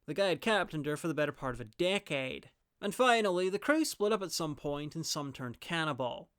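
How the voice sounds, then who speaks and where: A man's voice: fairly high pitch, expressive, a little fast, fairly bright tone, clean tone, no room sound. A man delivering a scripted review as a video host, with wry, dry asides.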